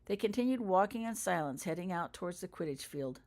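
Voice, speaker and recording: A woman's voice: on the low side, animated, fairly quick, darkish tone. A woman reading her own fiction aloud as a scripted audiobook narration for younger listeners. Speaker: author reading own story.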